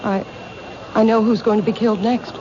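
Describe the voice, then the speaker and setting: A woman acting in a radio mystery as a calm but fearful bookshop owner, speaking hesitantly and with composure. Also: on the high side, fairly quick, lightly acted. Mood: calm, uneasy. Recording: muffled, noisy old radio recording.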